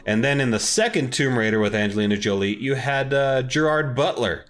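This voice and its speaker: A man's voice: medium pitch, a little fast, a bit bright. A man co-hosting a podcast, chatting casually about films and games in an informal, slangy way. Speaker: podcast co-host.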